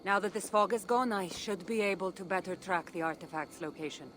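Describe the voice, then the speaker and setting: A woman's voice: medium pitch, a little fast, fairly bright tone, a little hiss or hum, natural voice. An actress playing a seasoned female artifact hunter in a video game, wry and teasing yet solemn about her duty. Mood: earnest, measured. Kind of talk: video game character dialogue.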